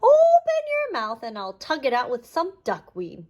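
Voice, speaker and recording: Lady doing a helpful duck for a kids story, super high, eager and swoopy. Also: wildly swooping pitch, a little slow, mellow, exaggerated voice. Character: helpful female duck offering duckweed. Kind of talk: children's storybook character voice.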